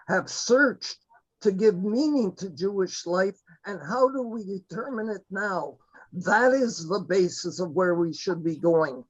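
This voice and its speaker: A high-pitched man talks slowly and earnestly over a video call, often halting mid-thought and starting again. The audio is dull and lo-fi, with the muffled sound of a webcast link. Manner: halting, earnest, with many restarts. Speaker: webinar participant. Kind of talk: impassioned remarks on a video call.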